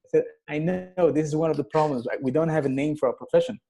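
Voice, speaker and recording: A man born in Kenya, a guest acupuncturist, telling stories in a casual interview with many pauses and restarts. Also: fairly high pitch, creaky, warm tone. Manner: halting, many fillers and restarts.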